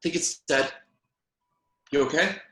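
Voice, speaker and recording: A man's voice: a little high, slow pace, sharp tone, reverberant. A man reading the part of a weary, brusque spaceship captain in an amateur script table read, terse and offhand. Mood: matter-of-fact.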